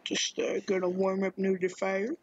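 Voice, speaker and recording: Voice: mid-range voice, completely flat delivery, normal speed, breathy edge, muffled recording. A gaming streamer chatting casually and jokingly while playing, now and then singing a few words. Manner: playful, jokey, sings snatches. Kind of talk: casual game commentary.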